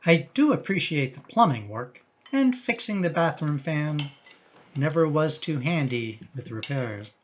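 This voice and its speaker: An actor playing a mild, reasonable middle-aged father in a radio play, measured and slightly formal, in a dull recording. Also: a little high, very animated, a little hiss or hum. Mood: measured, doubtful. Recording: dull, muffled sound.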